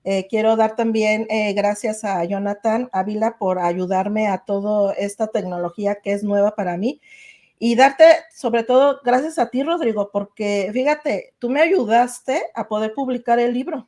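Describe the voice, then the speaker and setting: Mellow-voiced woman hosting a faith podcast. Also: medium pitch, a little slow. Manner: warm, effusive, grateful, with many "eh" fillers. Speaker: podcast host. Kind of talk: casual interview chat.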